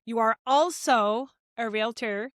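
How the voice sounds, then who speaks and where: A woman's voice: fairly high pitch, slow, fairly bright tone, no room sound, studio quality. A woman hosting a podcast, reading a scripted intro and asking interview questions in an upbeat, welcoming way. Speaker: podcast host.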